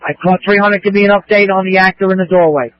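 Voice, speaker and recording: Firm high pitched cop boss on a muffled radio, short repeated orders. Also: male voice, steady tone, fast, clear, resonant tone, soft background music. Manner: firm, repetitive, directive. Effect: police radio. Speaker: police incident commander. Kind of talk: radio commands in short phrases. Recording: muffled police scanner radio.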